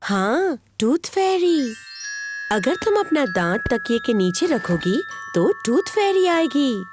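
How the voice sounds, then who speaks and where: High, lilting mummy pig. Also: female voice, sing-song, takes it slowly, music in the background, exaggerated voice. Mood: reassuring. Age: adult. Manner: soothing, explanatory. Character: gentle, reassuring mummy pig, patient mother. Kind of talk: cartoon dubbing.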